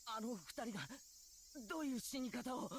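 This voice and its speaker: A woman's voice: on the high side, animated, fast, slight background noise, lightly acted. A woman voicing an anxious, guilt-ridden teenage schoolboy in an anime visual novel, stammering and hesitant. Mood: shaken. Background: music, insects.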